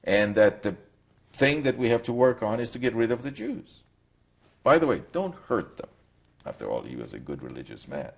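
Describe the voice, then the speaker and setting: An older man from Poland, giving a reflective, halting recorded testimony in an interview. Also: medium pitch, sing-song, vocal fry.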